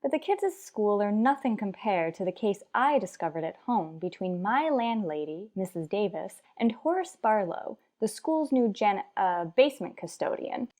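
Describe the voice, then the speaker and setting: Wry, animated schoolteacher played by an actress. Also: female voice, mid-range voice, normal speed, few pauses, natural voice. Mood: wry narration. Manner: dry, sarcastic wisecracks. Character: wry, sardonic woman high school English teacher. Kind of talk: old-time radio sitcom acting and narration.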